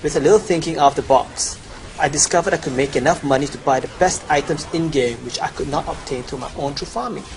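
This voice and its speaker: A man's voice: fairly high pitch, fast talker, slight background noise, low-quality recording. A young man with a Chinese accent, boasting through a promotional gameplay voice-over as he lists his gear and stats. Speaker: gamer promoting a gold guide.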